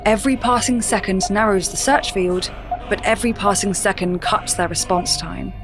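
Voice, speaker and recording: A woman narrating a sci-fi audio drama in a measured, explanatory way. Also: medium pitch. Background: music.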